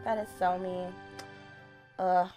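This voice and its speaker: Young woman, kinda deep voice, tired late-night voiceover, chatty and rambly. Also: little pitch movement, takes it slowly, dark tone, faint music behind. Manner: chatty, rambling, self-deprecating. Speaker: gaming YouTuber. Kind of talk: casual game voiceover. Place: home, voiceover. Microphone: desktop USB mic.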